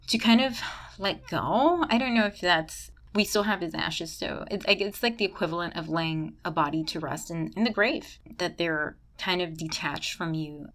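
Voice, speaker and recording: A woman's voice: on the low side, fairly bright tone. A woman hosting a podcast, talking candidly in a casual chat with a congested, stuffy nose, dropping Spanish phrases. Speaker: podcast host. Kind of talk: casual podcast intro monologue.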